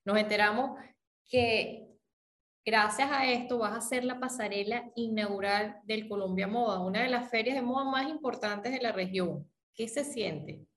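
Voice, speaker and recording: A woman interviewing a guest, asking formal, measured questions. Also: a little high, a bit bright.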